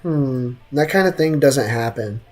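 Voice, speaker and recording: A man's voice: mid-range voice, expressive, measured pace, darkish tone. Young American man streaming, music behind. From United States. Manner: slangy, reads chat aloud and answers it. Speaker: game streamer. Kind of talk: casual live gaming chat. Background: game music.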